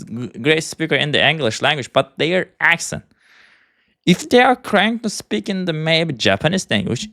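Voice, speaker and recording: A young man with a Turkish accent talks to camera in a loose, rambling way, often stopping to hunt for the next English word. His voice sits a little high for a man and comes through smooth and clean. The sound is close and dry, with no room echo. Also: fairly bright tone. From Turkey. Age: 20s. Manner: halting, searching for English words. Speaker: vlogger. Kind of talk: rambling personal monologue.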